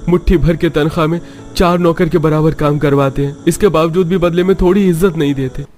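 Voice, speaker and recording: Cartoon young dad played by a man: poor, earnest, pleading, higher male pitch, light music bed. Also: fairly even intonation, brisk, darkish tone, lightly acted. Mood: despairing. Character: earnest, downtrodden young father, poor restaurant worker. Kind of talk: melodramatic Hindi cartoon acting.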